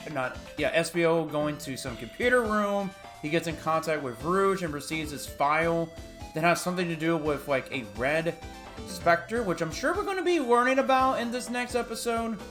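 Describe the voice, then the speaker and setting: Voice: medium pitch, fairly bright tone. A video host giving casual, rambling reaction commentary, often repeating "like".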